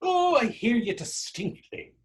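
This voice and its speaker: A man's voice: extremely high pitch, sing-song, measured pace, breathy edge, exaggerated voice. A man in a readers theater drama playing a defiant young Irish street vagrant, taunting and sarcastic, with an Irish accent. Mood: mocking. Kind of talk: readers theater stage acting.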